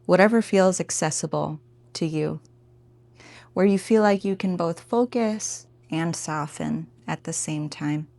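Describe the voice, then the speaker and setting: A woman teaching a guided meditation in a gentle, informal, encouraging way. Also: lowish voice, unhurried, gravelly, no echo.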